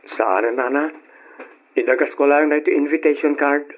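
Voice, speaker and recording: A man playing a humble, polite young janitor in an old radio drama, with a husky voice. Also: fairly high pitch, steady tone, moderate pace, dull audio, lightly acted. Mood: polite, bashful.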